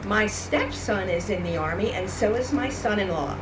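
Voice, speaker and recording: A woman's voice: mid-range voice, lively intonation, moderate pace, over music, lightly acted. A woman playing a proud, plainspoken 1940s war mother in a live radio-play reenactment. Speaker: reenactor reading a radio script. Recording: noisy live event recording.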